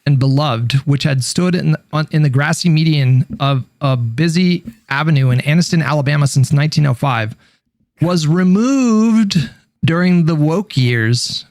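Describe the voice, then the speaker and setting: A man with a moderately high voice in a dry, close recording. He tells stories casually and haltingly, slipping between informal, sweary talk and reading aloud. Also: dry recording. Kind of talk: casual storytelling, partly reading an article aloud.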